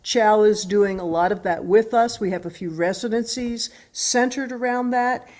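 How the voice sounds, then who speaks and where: A woman's voice: medium pitch, normal speed, darkish tone. An older American woman, a musician speaking casually as an interview guest.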